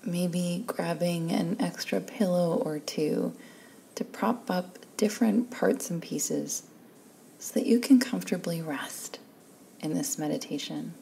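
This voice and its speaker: A woman leading a guided meditation in a soft, breathy, calm and soothing voice, leaving long pauses between phrases. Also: lowish voice, slight background noise, poor audio. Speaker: guided relaxation instructor.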